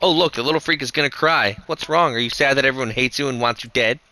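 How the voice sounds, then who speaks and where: A cruel village bully boy, taunting and jeering in a voice pitched well above a grown man's, as a male narrator puts him on. The tone stays sharp and biting, with faint music under the storybook reading. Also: quick pace, no room sound, lightly acted.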